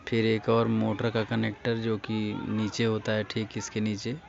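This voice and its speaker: A man's voice: lowish voice, monotone, unhurried, creaky, a crowd in the background. An Indian man giving a casual hands-on repair tutorial, speaking informally and instructing viewers directly. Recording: dull lo-fi recording with faint noise.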